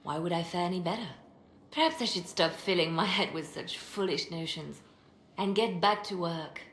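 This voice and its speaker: An actress playing a determined, idealistic female spymaster and religious reformer in a video game, earnest and measured. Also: lowish voice, a bit bright. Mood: doubtful, grim. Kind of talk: video game dialogue acting. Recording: echoey, faint background noise.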